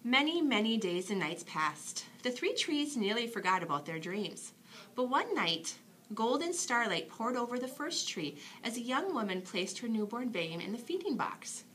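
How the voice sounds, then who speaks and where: A woman reads a children's bedtime story aloud in an expressive, dramatic storytelling manner. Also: a little high, normal speed. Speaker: storybook reader.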